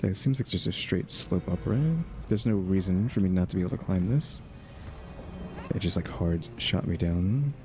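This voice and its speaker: A man with a low voice chats his way through a video game. He rambles and thinks aloud, often tossing in questioning asides, while loud music plays behind him. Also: moderate pace. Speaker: gaming streamer. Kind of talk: casual game playthrough commentary.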